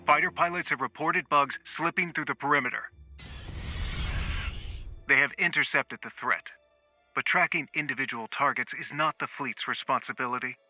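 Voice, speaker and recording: An actor playing a composed, calculating colonel in a video game, speaking in a formal, dry, faintly superior way. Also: male voice, medium pitch, moderate pace, pushed and bright, faint music behind. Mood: stern. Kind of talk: scripted video game acting.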